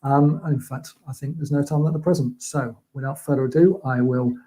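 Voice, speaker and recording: A man's voice: medium pitch, a little fast, mellow, poor audio. A man introducing an online panel session, informal and chatty with many ums. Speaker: event organiser introducing the session.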